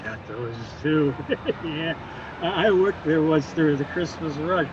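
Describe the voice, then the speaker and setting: An actor playing a tipsy, rambling street drunk in an old-time radio drama, chuckling and muddled. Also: male voice, a little high, expressive, unhurried, lo-fi sound, background noise. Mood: boozy, amused.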